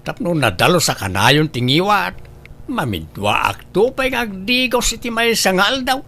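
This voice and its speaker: Casting: male actor as cocky, nagging old Ilocano husband, high-pitched and bright, for comedy drama. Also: big pitch swings, unhurried, creaky, full character voice. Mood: nagging, preachy. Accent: Ilocano Filipino. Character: boastful, health-obsessed old husband and veteran driver, nagging, cheerful.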